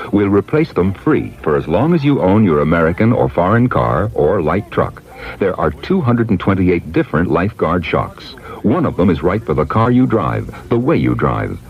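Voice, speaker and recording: Very deep-voiced male ad announcer. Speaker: commercial announcer. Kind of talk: 1970s radio ad read. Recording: dull old radio recording.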